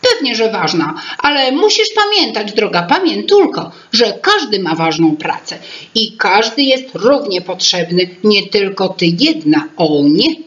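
A woman's voice: medium pitch, sing-song, unhurried, a bit bright, lo-fi sound. Woman putting on a huffy little talking teapot. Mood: exasperated. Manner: huffy, lecturing. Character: small cheeky talking teapot, male, exasperated scolder.

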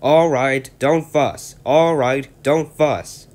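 A man voicing a crowd of silly, noisy freight trucks in a storybook, squealing and shrill. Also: fairly even intonation, takes it slowly, darkish tone, natural voice. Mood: mocking.

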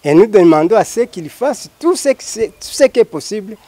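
A middle-aged man with a Congolese French accent explains things formally as an interview guest. His pitch is somewhat high for a man and his phrasing is deliberate. The sound is dry, picked up outdoors on a handheld microphone. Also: animated, mellow, no room sound. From Democratic Republic of the Congo. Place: outdoors by a house wall. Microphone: handheld microphone held by interviewer.

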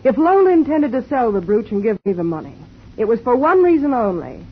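A sardonic young writer. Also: female voice, a little high, very animated. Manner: sardonic, quick-witted. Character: sharp-tongued young woman pulp writer. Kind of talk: 1950s radio drama acting. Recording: muffled 1950 radio broadcast with faint hiss.

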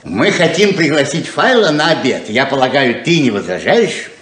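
Actor as coaxing father, very lively intonation. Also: mid-range voice, normal speed, close to an everyday voice. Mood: careful coaxing. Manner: emphatic, persuasive, swinging between tender and insistent. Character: coaxing, stubborn, loving father of grown children. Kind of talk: 1970s Soviet radio play acting. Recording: roomy 1970s radio drama recording.

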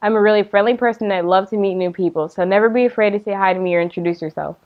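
A teenage girl with an American accent delivers a prepared student campaign speech. She talks quickly, sitting indoors. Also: mid-range voice, muffled recording. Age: teenager, about 14. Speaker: student election candidate. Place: indoor studio, seated.

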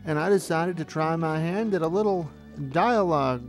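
A man's voice: fairly high pitch, normal speed. A man in his forties from Texas narrates a documentary, reminiscing as an amateur filmmaker. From Texas, United States. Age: 40s. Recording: music under the voice. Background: music.

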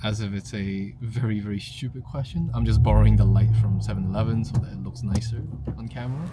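A man's voice: on the low side, fairly even intonation, fast talker, loud music behind the voice. A man in his twenties chatting casually to a handheld camera as he vlogs. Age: 20s. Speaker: vlogger. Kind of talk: casual vlog chat to camera. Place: outdoors, in a car and in a shop. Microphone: handheld vlog camera at arm's length. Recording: noisy street and car ambience.

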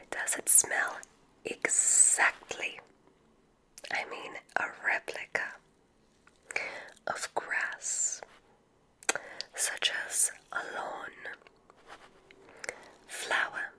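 Slow whispering ASMR woman. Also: low-quality recording. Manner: slow, deliberate guiding with soft praise. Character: gentle, encouraging witch examiner at a magic school. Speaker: ASMR roleplay performer. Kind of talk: whispered ASMR medical exam roleplay.

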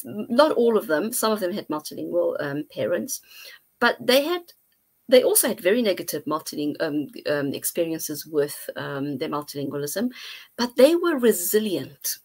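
A middle-aged woman, a guest researcher, explaining her findings thoughtfully on a video call from her home study, with frequent pauses and fillers. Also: lowish voice, sing-song. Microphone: earphone mic on video call.

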